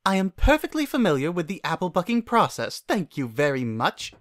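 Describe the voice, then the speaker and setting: Man putting on a high, theatrical prim lady pony. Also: huge pitch swings, a little fast. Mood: haughty. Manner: haughty, theatrical. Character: prim, dramatic female unicorn pony, shrieking in pain.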